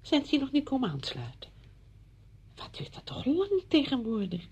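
A woman's voice: high-pitched, huge pitch swings, normal speed, darkish tone, slight background noise. An actress playing a chatty, apologetic married woman visiting a friend in a radio drama, effusive, rambling and flustered. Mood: apologetic, gushing.

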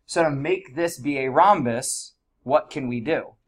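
A man with congested allergies, teaching a high school math class in a casual, chatty way. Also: a little slow. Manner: casual, chatty explaining with fillers. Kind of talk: classroom lesson.

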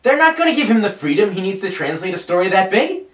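A man's voice: high-pitched, very animated, fast. A young man acting an opinionated, skeptical geek in a scripted comic debate, emphatic and dismissive. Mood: argumentative, dismissive. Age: young adult. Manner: emphatic, argumentative. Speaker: actor in a web comedy sketch. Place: small furnished room indoors. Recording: roomy, mic some distance away.

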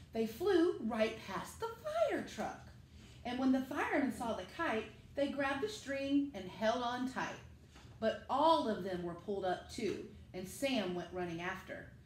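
A middle-aged woman, a school teacher, reading a picture book aloud to children and speaking to them directly in an animated way. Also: on the high side, slow pace. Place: living room at home, indoors. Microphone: camera a few metres away. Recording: echoey room with faint noise.

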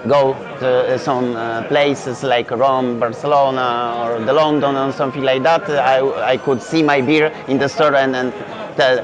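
A man's voice: mid-range voice, steady tone, normal speed. A young man with a Polish accent, a native Polish speaker, answering interview questions in English with many fillers and restarts. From Poland. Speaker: brewery founder. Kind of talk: festival interview. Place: indoor beer festival hall. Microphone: lapel mic. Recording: dull lo-fi sound with festival crowd chatter.

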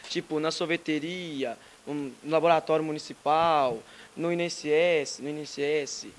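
A man's voice: fairly high pitch, steady tone, moderate pace, slight background noise. A teenage boy presenting his school project, hesitant, with casual filler words. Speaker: student presenter. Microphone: handheld mic.

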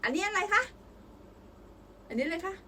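A woman's voice: very high-pitched, expressive, moderate pace, sharp tone. A young mother reading a picture book aloud with her child, asking encouraging sing-song questions. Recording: voice picked up from a distance with faint noise.

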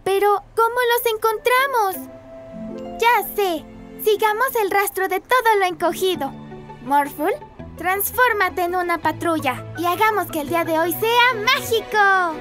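A cheerful little girl in a children's cartoon. Her voice is extremely high and childlike, eager to help. Loud show music plays beneath her. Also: measured pace. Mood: determined.

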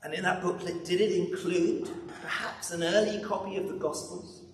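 Older guy preaching, kinda high voice for a man, emphatic w big pauses, echoey church, bit far from the mic. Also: steady tone. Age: 60s or older. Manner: emphatic, dramatic pauses, rhetorical repetition. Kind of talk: sermon. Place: large church interior at a lectern. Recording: echoey church, distant mic, background noise.

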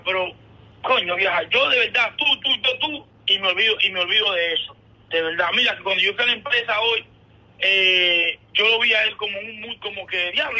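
A man's voice: sits high, pushed and bright, husky. A Dominican man in a casual phone conversation, explaining himself hesitantly and repeating words, heard tinnily through a phone speaker. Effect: heard over a phone speaker. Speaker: caller. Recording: tinny, muffled phone call on speakerphone.

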